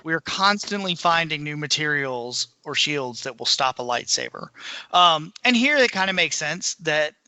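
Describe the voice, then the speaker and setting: A man's voice: a little high, bright, forceful tone, dry recording. A man co-hosting a podcast, giving a casual, conversational recap of books. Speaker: podcast co-host.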